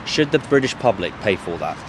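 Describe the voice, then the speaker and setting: A man holding a microphone out on the street, questioning passers-by in a probing, leading way and circling back on his words. His voice sits a little low, and faint traffic hums behind him. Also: big pitch swings, brisk. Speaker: street interviewer. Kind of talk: vox-pop street interview. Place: outdoors on a street near a university. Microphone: handheld mic.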